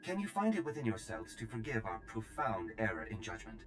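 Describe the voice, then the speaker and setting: A man's voice: fairly low pitch, very animated, a little fast, a bit bright. An actor playing a deferential, formal male envoy who serves powerful masters in a video game. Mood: pleading, deferential. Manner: courtly, obsequious, formal. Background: music.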